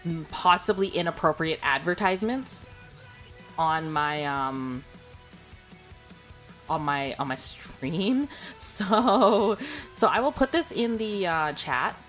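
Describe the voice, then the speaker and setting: A woman's voice: fairly low pitch, lively intonation, takes it slowly, fairly bright tone, soft background music. A young adult woman streaming, chatting casually and rambling with lots of fillers and giggles. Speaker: VTuber streamer. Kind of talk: casual livestream chat.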